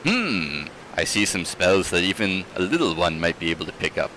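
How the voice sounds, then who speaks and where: A man voicing an old woman who teaches spells, gently encouraging, with a slow, deliberate, mysterious delivery in a story reading. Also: deep voice, big pitch swings, slight background noise, full character voice. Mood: kindly, mysterious.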